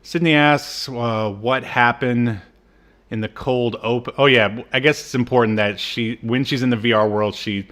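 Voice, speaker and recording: A man's voice: mid-range voice, steady tone, normal speed, fairly bright tone. A man hosting a podcast, casually recapping an old TV show in a jokey, irreverent way. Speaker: podcast host.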